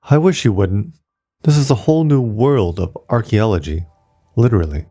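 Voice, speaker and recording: A man's voice: on the low side, animated, normal speed, warm tone, faint music behind. A man reading the part of a stubborn, patient woman archaeologist in an audiobook, earnest and insistent. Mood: determined.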